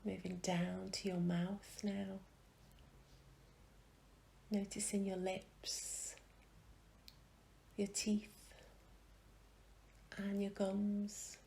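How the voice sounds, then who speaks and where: A woman leading a guided meditation in a gentle, soothing way, with soft phrases that trail into a whisper and long pauses. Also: mid-range voice, completely flat delivery, creaky, a little hiss or hum.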